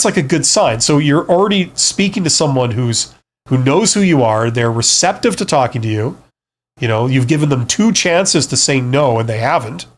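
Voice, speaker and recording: A man hosting a casual live stream, giving frank, conversational advice and often checking in with the listener as he goes. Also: mid-range voice. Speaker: live stream host, career advisor and former CEO.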